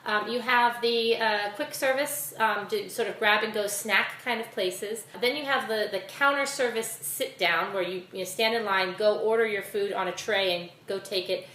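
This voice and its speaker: A woman's voice: medium pitch, normal speed, hardly any pauses, pushed and bright. Chatty woman podcast co-host, echoey room. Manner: chatty, joking asides, frequent laughs. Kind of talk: casual chat. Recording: echoey room, faint ambient noise.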